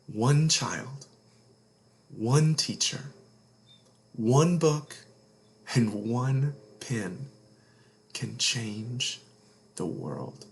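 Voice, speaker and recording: A man reading a children's story aloud as a read-along host, earnest and deliberate, with dramatic emphasis and hushed phrase endings. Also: medium pitch.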